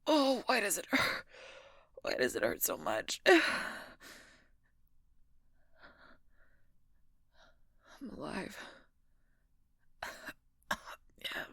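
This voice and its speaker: A woman playing a gruff, bitter female werewolf knight in an intimate audio roleplay, husky and whispery, halting and strained with pain. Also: medium pitch, huge pitch swings, fairly bright tone, dry recording, clean studio sound, full character voice. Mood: weak, dazed. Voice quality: breathy, husky, often whispering.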